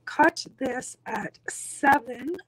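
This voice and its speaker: A hoarse woman with a croaky voice, walking through a craft tutorial step by step in a reassuring, casual way. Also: high-pitched, very slow, fairly bright tone, a little hiss or hum, rough recording. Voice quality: croaky, husky. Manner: reassuring, instructional. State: hoarse, losing her voice. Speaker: craft tutorial host, demonstrator.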